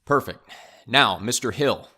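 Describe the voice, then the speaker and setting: A man playing a calm, courteous arbitrator with a measured, official manner in an audio drama. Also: medium pitch, slightly rough, exaggerated voice. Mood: genial. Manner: measured, official, even-tempered. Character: calm, courteous male arbitrator settling disputes.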